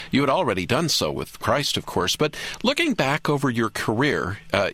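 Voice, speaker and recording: A man's voice: mid-range voice, a bit bright, no echo. A man hosting a faith radio program, asking interview questions in a conversational way with frequent affirming murmurs. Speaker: radio host.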